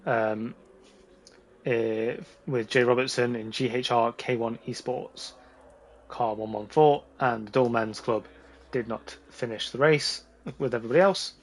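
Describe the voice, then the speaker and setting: A man with a slightly hoarse voice giving casual, informal live commentary on a sim race. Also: mid-range voice, fairly even intonation, measured pace, rough recording.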